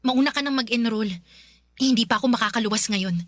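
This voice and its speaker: An actress playing a defiant, bitter pregnant student in a Filipino radio drama, sarcastic and blunt with a Filipino accent. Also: female voice, on the high side, fairly quick, fairly bright tone, dry recording, clean studio sound, lightly acted. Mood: annoyed, guarded. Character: defiant pregnant young student, bitter, sharp-tongued girlfriend.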